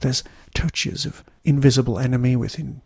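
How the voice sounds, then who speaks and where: A man delivering a solo podcast review in a wry, enthusiastic way, with emphatic pauses. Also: mid-range voice, steady tone, creaky, a little mellow, husky, no room sound, clean studio sound.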